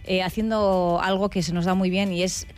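A woman's voice: fairly low pitch, steady tone, normal speed, music in the background. A woman co-hosting a radio show, presenting a segment in an upbeat, informative way. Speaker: radio co-host.

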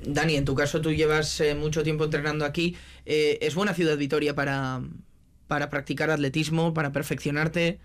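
A man's voice: on the high side, flat delivery, a little fast. A man with an accent from Spain, conducting a conversational sports interview as the host. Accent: Spanish, from Spain.

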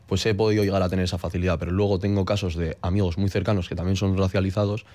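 A man from the Basque Country, speaking earnestly as a guest in a radio interview, with halting fillers. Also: sits low, level tone, darkish tone, dry recording.

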